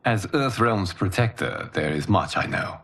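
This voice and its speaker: A man playing a grave, benevolent protector god in a video game, speaking in a measured, formal, commanding way. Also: fairly low pitch, animated, a bit bright, a little hiss or hum. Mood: calm, solemn. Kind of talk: solemn cinematic game dialogue. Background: cinematic music.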